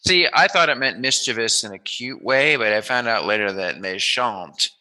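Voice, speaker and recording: A man hosting a casual, joking interview, rambling and teasing and quick to laugh. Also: medium pitch, expressive, bright, forceful tone, poor audio. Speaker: interviewer, host.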